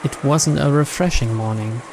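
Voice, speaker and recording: A man's voice: mid-range voice, normal speed, vocal fry, background noise. A man reading scripted narration aloud from a visual novel. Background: game music.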